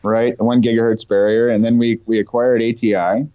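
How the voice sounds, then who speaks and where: A man's voice: mid-range voice, flat delivery, a little fast, mellow, no echo. A man with a cold, chatting casually and enthusiastically about technology as an invited guest. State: has a cold. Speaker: guest company representative. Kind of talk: casual tech discussion. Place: remote call over the internet. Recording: muffled, dull call audio.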